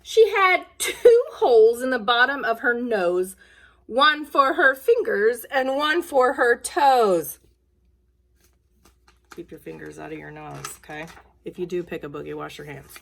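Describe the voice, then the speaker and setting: A woman's voice: fairly high pitch, animated, unhurried, creaky, fairly bright tone. A woman speaking casually and simply to children, in the manner of a school nurse at story time. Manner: plain, simple, child-directed phrasing. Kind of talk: casual talk to children and read-aloud storytelling.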